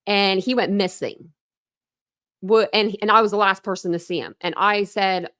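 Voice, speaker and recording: A woman's voice: mid-range voice, a bit bright. A woman hosting a true crime livestream in casual, rambling commentary, often breaking off and restarting. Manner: rambling, chatty, self-interrupting with laughs. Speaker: true crime channel host.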